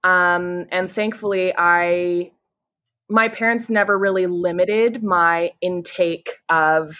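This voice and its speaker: A woman's voice: lowish voice, fairly even intonation, takes it slowly. Chatty Canadian woman on a lo-fi line. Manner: eager, chatty, frequent "you know" and "like". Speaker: podcast guest. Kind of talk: casual conversation.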